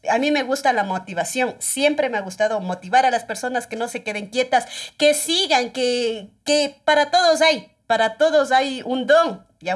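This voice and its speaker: Young Ecuadorian woman guest, animated explainer, slightly high voice, studio mic. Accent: Ecuadorian Spanish. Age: young adult. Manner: animated, explanatory, uses her hands, checks in with "¿ya?". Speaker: guest, TikTok creator. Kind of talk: casual interview talk. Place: small podcast studio, indoors. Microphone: studio condenser mic on stand.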